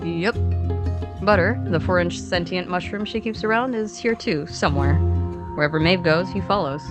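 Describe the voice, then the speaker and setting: A woman with a fairly low voice tells a story as one of two podcast narrators. Her delivery is scripted yet conversational, playful and dryly informal, and music plays clearly underneath. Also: normal speed. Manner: playful, informal, dry asides.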